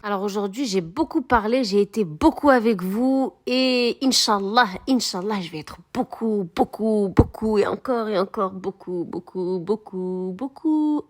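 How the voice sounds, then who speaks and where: A woman's voice: medium pitch, a little slow, few pauses. Chatty, emphatic woman cooking on camera. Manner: expressive, repeats words for emphasis, coaxing viewers. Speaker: home cooking vlogger.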